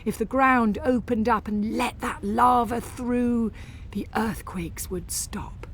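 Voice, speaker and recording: A woman narrating a story in the first person, with an expressive, wry and theatrical delivery. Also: a little high, takes it slowly, a little hiss or hum. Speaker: audiobook narrator.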